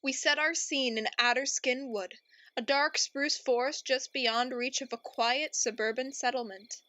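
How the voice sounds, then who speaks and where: A woman's voice: sits high, steady tone, a little slow, bright, forceful tone, no room sound, dull audio. A woman playing a melodramatic, easily exasperated narrator in a radio play, grandiose and theatrical. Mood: ominous. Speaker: narrator and host.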